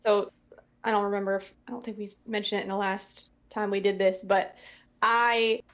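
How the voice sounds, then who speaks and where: A woman's voice: medium pitch, level tone, normal speed, highs cut off. A woman voice actor chatting casually in a group commentary, in a tentative, hedging way. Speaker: voice actor in an audio drama.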